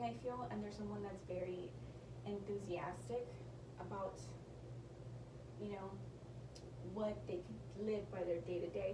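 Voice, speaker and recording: A woman's voice: mid-range voice, roomy sound, far from the mic, noisy background. Nasal, tired woman reading tarot. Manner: rambling, self-correcting, apologetic, drops into whispers. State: nasal and tired from a sinus infection. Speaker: tarot reader. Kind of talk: casual pick-a-card tarot reading.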